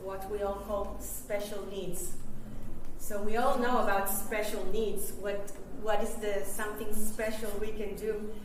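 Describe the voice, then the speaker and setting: Woman giving a workshop talk, slow and halting, far off in a big echoey hall. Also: mid-range voice, little pitch movement. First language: Hebrew. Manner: halting, searching for English words. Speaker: workshop presenter. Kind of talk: masterclass talk to an audience. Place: festival venue hall. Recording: very echoey hall, distant mic, ambient noise.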